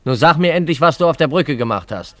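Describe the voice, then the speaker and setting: Haughty, condescending police officer. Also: male voice, fairly high pitch, animated, quick pace, a bit bright, no echo. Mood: smug, insistent. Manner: condescending, commanding, interrogating. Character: haughty, suspicious Russian police officer, a man. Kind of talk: German radio play acting.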